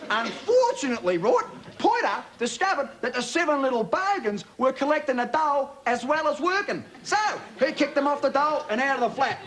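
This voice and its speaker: A high-pitched broad Aussie bogan storyteller. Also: male voice, very animated, fairly quick, few pauses, full character voice. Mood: cheeky, matey. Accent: broad Australian. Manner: laid-back, slangy, matey asides. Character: cheeky slangy Aussie bogan bloke reading storytime. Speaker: comic storyteller. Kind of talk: comic bedtime storytelling to a live audience. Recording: TV studio with loud audience laughter, noisy.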